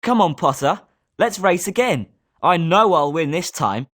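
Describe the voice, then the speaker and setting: Lowish, animated British schoolboy played by a woman. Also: measured pace. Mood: confident, challenging. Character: confident schoolboy wizard, sporting and competitive. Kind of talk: scripted video game character lines.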